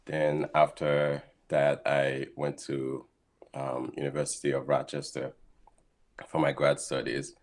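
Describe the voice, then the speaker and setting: A man's voice: very deep voice, monotone, takes it slowly. A man, a guest scientist explaining his work steadily in a casual interview over a video call. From Haiti. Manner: thoughtful, explains steadily with frequent ums.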